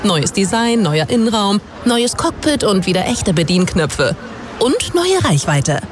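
A woman's voice: medium pitch, big pitch swings, measured pace, a little hiss or hum. An actress playing an enthusiastic woman touting a new car in a radio advertisement. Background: faint music.

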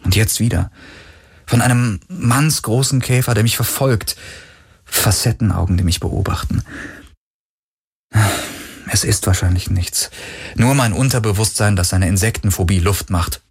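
Guy doing a tired young woman in a space audiobook, husky, wry n subdued, bit of a swindler. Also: fairly low pitch, measured pace, pauses often. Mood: sleepy, uneasy. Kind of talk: audiobook character dialogue.

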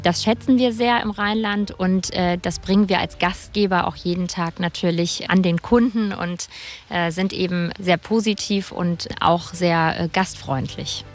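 A woman's voice: on the low side, fairly even intonation, a little slow. A middle-aged woman from the Rhineland, explaining things as an interview guest in the hospitality trade. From Rhineland, Germany. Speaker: hotelier and restaurant host, interview guest.

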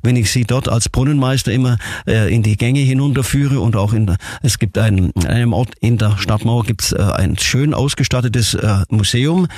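A man from southern Germany speaking as an interview guest, a city tour guide telling stories in a halting, earnest way. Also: mid-range voice, darkish tone, slight background noise. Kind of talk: casual interview storytelling.

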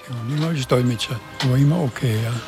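A man in his eighties from Burgenland with a slight Burgenland Croatian accent, answering interview questions in a halting way, often repeating words. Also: medium pitch, a little mellow, soft background music. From Burgenland, Austria. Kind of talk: conversational interview.

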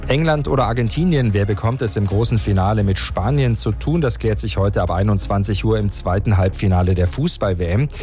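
A man reading national and world news on the radio in a steady, formal way. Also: on the low side. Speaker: newsreader.

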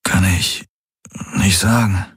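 A man voicing a wounded young man just woken from injury in a science fiction audiobook, speaking low and haltingly. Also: steady tone, husky, no echo, exaggerated voice. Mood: weary. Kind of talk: audiobook character voice.

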